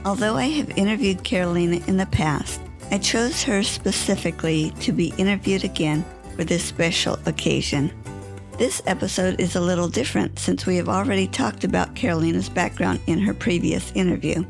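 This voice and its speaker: Woman, podcast host, gravelly voice, gentle measured delivery, faint music underneath. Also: mid-range voice. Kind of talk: podcast interview.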